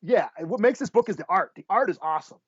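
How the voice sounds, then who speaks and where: Slightly high-voiced man, casual and rambling. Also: lively intonation, fast talker, husky, dry recording. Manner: rambling, searches for names, sweary. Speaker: podcast host. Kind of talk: casual comic book review chat. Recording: slightly dull, dry lo-fi audio.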